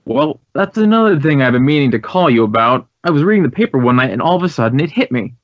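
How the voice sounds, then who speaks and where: An American actor playing an earnest, courteous and talkative director of a drama school in an old radio drama. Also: male voice, fairly high pitch, very animated, fast, dry recording. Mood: puzzled, chatty. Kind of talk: 1950s radio acting. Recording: dull, dry old radio recording.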